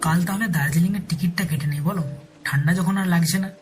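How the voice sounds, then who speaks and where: A man's voice: sits high, fast, close to an everyday voice. Teasing, devoted Bengali husband played by a man. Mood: affectionate. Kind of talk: romantic audio story acting. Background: soft background music.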